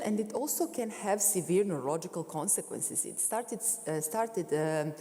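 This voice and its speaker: A woman giving a medical conference lecture at a podium microphone, often pausing on fillers and correcting herself as she explains her slides. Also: mid-range voice, mellow. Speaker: conference speaker. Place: large concert hall. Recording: long hall reverb.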